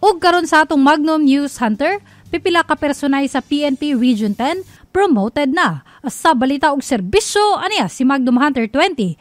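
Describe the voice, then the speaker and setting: A woman's voice: sits high, animated, normal speed, no echo. A woman with a Filipino accent reading a scripted radio news bulletin as the anchor.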